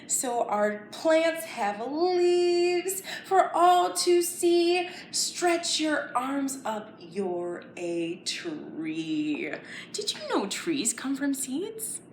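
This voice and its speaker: High, animated woman teaching young children. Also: very slow, slight background noise. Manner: playful, exaggerated, encouraging, speaking to young children. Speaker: children's story time teacher. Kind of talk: children's lesson with sing-along and questions.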